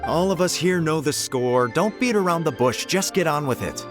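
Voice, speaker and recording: A man's voice: on the high side, animated, normal speed, over music, close to an everyday voice. A man playing a testy, proud official in a video game, blunt and grumbling like a sore loser. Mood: impatient.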